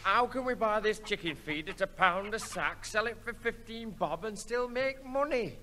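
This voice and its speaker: An actor playing a slow-witted, good-natured sidekick with a hesitant manner in 1960s radio sitcom style. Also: male voice, sits high, animated, bright, forceful tone. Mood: puzzled. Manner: dim, hesitant, agreeable. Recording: noisy, reverberant old radio broadcast.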